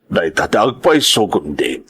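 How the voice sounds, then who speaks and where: A man's voice: lowish voice, very animated, a little slow, a bit bright, breathy edge, exaggerated voice. A man playing a grave older uncle figure in an audio drama, telling things hesitantly. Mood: grave, reluctant. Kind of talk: Ilocano audio drama acting.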